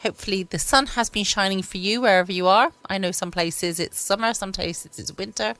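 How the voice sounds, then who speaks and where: A woman's voice: medium pitch, fairly quick, a bit bright. A woman, chatting casually and musing as host of a bedtime podcast. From United Kingdom. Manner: rambling, musing to herself. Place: quiet room at home.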